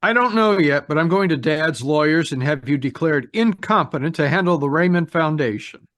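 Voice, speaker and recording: An actor playing a suspicious, defiant young nephew in an old-style radio mystery. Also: male voice, on the high side, expressive, normal speed, fairly bright tone, no echo, studio quality. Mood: defiant, accusing. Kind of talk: 1940s radio mystery acting. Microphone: video call.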